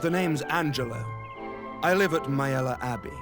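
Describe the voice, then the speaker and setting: Actor as a suave rogue over loud music. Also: male voice, mid-range voice, normal speed, lightly acted. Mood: smug, teasing. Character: suave, roguish young man, cocky charming trickster. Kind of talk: video game voice acting. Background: loud game music.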